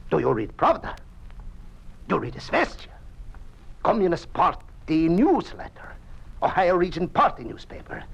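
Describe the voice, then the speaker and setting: A man's voice: a little high, gravelly, husky, exaggerated voice, low-quality recording. A man playing Mazzini, an anxious immigrant scientist who speaks urgent, broken English in a 1950s radio drama. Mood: pressing. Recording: old radio broadcast recording.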